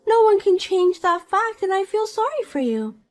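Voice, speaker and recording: A woman putting on a shrill, spiteful mean girl. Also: big pitch swings, moderate pace, faint music behind. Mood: taunting. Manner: mocking, pushy, self-important. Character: spiteful, vain young woman, a bully.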